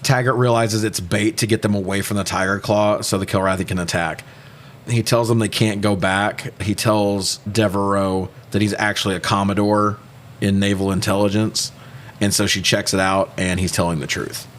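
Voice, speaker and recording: Low-voiced man, irreverent podcast banter. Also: fairly even intonation, moderate pace, fairly bright tone, slight background noise. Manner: irreverent, joking, profane. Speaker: podcast co-host. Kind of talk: casual movie recap banter.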